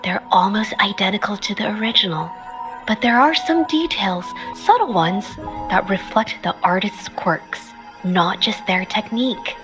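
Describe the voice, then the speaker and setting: A woman voicing a shy, gentle young painter in a video game, speaking softly and hesitantly, often trailing off. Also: mid-range voice, animated, a little slow, music in the background, lightly acted, poor audio. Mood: puzzled. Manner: soft-spoken, hesitant, trailing off.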